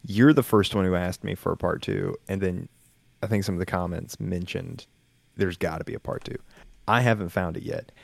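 A man co-hosting a podcast and chatting casually about stories in a flat, monotone way. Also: sits low, normal speed, vocal fry, dry recording. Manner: monotone, laid-back delivery. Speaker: podcast co-host and story narrator. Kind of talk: casual chat.

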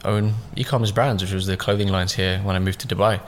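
Rambling young man, slightly low voice. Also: little pitch movement, quick pace, a little hiss or hum. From born in Russia, raised in southeast London. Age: 20s. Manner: loose and rambling, many fillers and "like"s. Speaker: podcast guest, business owner. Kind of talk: casual interview chat.

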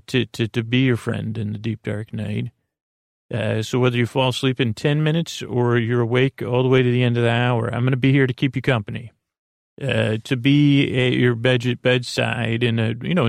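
Creaky-voiced man rambling softly for sleep. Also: mid-range voice, dry recording, clean studio sound. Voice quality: creaky, gravelly. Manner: meandering, hesitant, repeats and stumbles over words; lulling, gentle, drawn-out delivery. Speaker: sleep podcast host. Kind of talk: rambling, soothing bedtime monologue. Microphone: close microphone.

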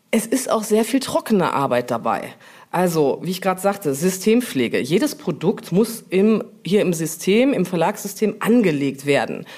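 A woman's voice: fairly low pitch, a little mellow. A woman speaking as an interview guest, in an informal, colloquial and chatty way. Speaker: interview guest, publishing brand manager. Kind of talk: casual interview talk.

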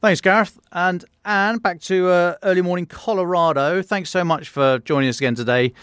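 A man's voice: high voice, moderate pace, a bit bright, clean tone, no room sound, studio quality. A British man hosting a podcast, addressing listeners and guests. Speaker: podcast host.

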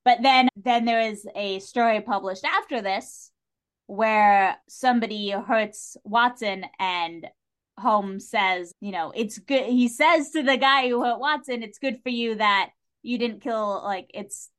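A woman's voice: fairly high pitch, a little slow. A woman in a casual, opinionated discussion as a podcast guest, speaking with emphatic enthusiasm.

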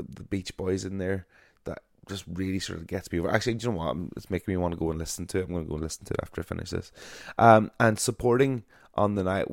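A man's voice: sits low, vocal fry, dry recording. A man hosting a podcast from a small basement studio, chatty and jokey, rambling a little as he talks. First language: English only. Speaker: podcast host. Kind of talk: casual podcast interview.

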